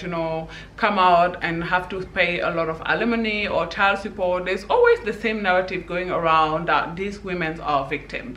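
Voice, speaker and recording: Bright-voiced opinionated woman from Senegal. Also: lowish voice, echoey room, a little hiss or hum. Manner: opinionated, emphatic, conversational with frequent "you know". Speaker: vlogger. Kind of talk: opinion commentary vlog.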